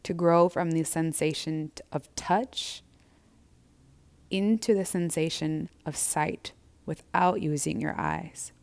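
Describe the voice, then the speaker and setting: Female meditation guide: low, smooth and resonant, with gentle, measured, soothing delivery. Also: steady tone. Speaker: yoga and meditation instructor. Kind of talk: guided breathwork and body scan instruction.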